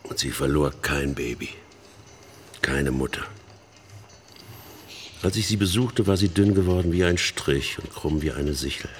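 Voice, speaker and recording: An actor playing an embittered middle-aged man telling a haunted story in halting, emphatic phrases in a radio play. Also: extremely low pitch, lively intonation, slight background noise. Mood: intense. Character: embittered older man haunted by his missionary sister's death. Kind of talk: dramatic monologue in a German radio play.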